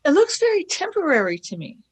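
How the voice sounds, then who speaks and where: A woman's voice: sits high, wildly swooping pitch, fairly quick. A woman as a nervous young companion. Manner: wondering, anxious questions. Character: Vicky, young female companion, eager and nervous.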